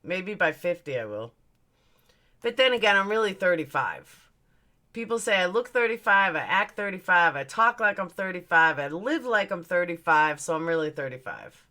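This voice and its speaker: A woman's voice: lowish voice, sing-song, normal speed, pushed and bright. Blunt, chatty woman in her forties. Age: 40s. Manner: reads out and answers viewer comments, chatty and blunt, swears. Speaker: livestream host. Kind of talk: casual livestream chat.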